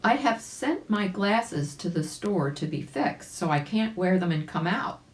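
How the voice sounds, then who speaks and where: Woman as scheming big bear, storybook villain, coaxing, boastful, slow, animated intonation. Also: medium pitch, roomy sound, pitched above the performer's own voice, close to an everyday voice. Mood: sly coaxing. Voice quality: harsh when revealed.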